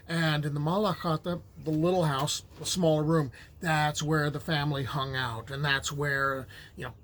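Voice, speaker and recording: Unhurried man explaining folklore. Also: a little high. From United States. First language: Ukrainian. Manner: relaxed and rambling, with many fillers and restarts. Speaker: history teacher and folklore host. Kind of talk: casual lecture on folklore.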